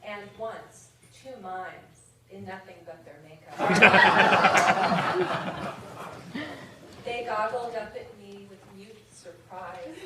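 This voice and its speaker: A woman poet reading her own comic verse aloud at a conference, with measured comic timing. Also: mid-range voice, very noisy. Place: indoor conference reading room. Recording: very echoey room from far off. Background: audience laughter.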